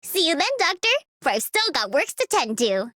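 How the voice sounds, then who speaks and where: A woman's voice: sits high, very animated, moderate pace, bright, forceful tone, no echo, heavily acted. A woman voicing a diligent, driven young female medic in a game. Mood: busy, determined. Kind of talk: scripted game voice lines.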